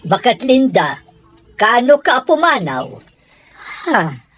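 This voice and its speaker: A woman's voice: fairly high pitch, very animated, slow pace, soft background music. A woman with an Ilocano accent playing a suspicious, domineering wife and household boss in a radio drama. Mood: irritated.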